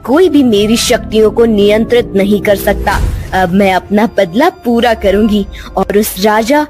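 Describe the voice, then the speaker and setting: A woman's voice: medium pitch, unhurried, a little mellow, close to an everyday voice. Woman acting as female snake shape-shifter, vengeful, threatening, declamatory, cartoon villain, background music. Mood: cold vengeance. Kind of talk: Hindi cartoon fairytale voice acting.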